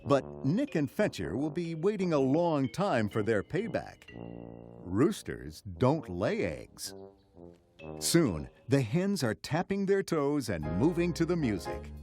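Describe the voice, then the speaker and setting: Casting: male read-along narrator, unhurried, very animated intonation, telling a children's story. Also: a little high, soft background music.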